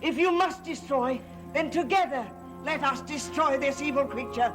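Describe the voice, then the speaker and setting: An actress playing a stern, devoted occult housekeeper with a solemn, formal manner in a gothic soap opera. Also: female voice, sits high, moderate pace, natural voice. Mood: solemn, urgent. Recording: old TV soundtrack, loud music.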